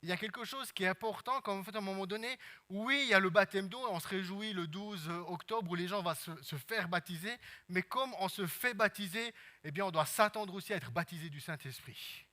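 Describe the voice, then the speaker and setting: A man with a Swiss French accent preaching a sermon as pastor, peppering his talk with amens and hallelujahs and swinging between hushed and loud passages. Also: sits high, a little fast.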